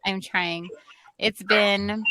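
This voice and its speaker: A woman's voice: medium pitch, very slow, heavy background noise. Chatty American woman on a video call. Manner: chatty, laughs easily, animated storytelling. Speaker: online English teacher learning Portuguese. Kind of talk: casual video-call conversation.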